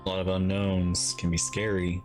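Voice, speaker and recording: A man's voice: low-pitched, completely flat delivery, normal speed, music in the background. A man giving casual reaction commentary as he plays through a video game story.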